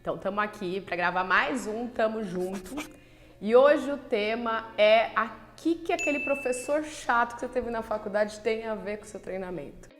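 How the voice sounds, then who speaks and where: A nutritionist, talking frankly to camera in a chatty way, full of filler words and rhetorical questions. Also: female voice, a little high, normal speed. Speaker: nutritionist and channel host. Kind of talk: casual talk to camera.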